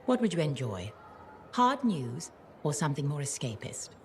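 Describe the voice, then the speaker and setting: An actress playing a scatterbrained, affectionately bossy chronicler in a video game, with genteel, wordy, theatrical phrasing. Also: female voice, low-pitched, very animated, normal speed, slight background noise. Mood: earnest, lofty. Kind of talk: video game character dialogue.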